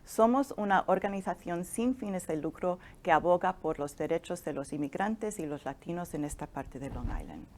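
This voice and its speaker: A middle-aged woman with a fairly low voice, leading an interview. She asks encouraging questions with small hesitations and repeated words. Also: animated, moderate pace, few pauses, darkish tone. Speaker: interviewer, deputy director of a nonprofit.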